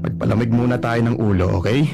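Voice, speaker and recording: An actor playing a jealous, anxious young boyfriend in a radio drama, pleading and rising in pitch when upset. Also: male voice, steady tone, quick pace, creaky, close to an everyday voice. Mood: calming. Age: young adult. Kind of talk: Filipino radio drama acting. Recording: music bed under the voice.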